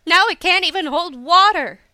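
Feisty young storybook heroine, acted by a female narrator: high, bright, indignant, sharp-tongued scolding. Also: lively intonation, moderate pace, no echo, studio quality. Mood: outraged cry. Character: feisty, scolding young tea-house woman.

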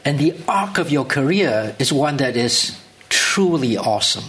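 A man hosting a formal on-stage interview, introducing his guest with long, measured, listing questions. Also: mid-range voice, expressive, fairly bright tone. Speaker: event host and interviewer. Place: lecture hall with live audience.

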